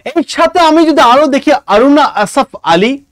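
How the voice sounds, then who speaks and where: Bengali guy teacher, really high voice, dry close sound, repeats stuff with emphasis for students. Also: lively intonation, measured pace, a bit bright, no echo. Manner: repetitive, emphatic, dictating points. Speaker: exam-prep history teacher. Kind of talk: online class lecture, addressing students informally.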